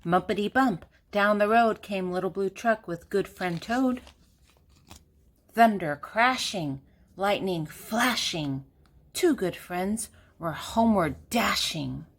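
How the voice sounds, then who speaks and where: A woman's voice: mid-range voice, slow pace. An older woman reading a children's picture book aloud, speaking simply and directly to young listeners. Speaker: children's storybook reader.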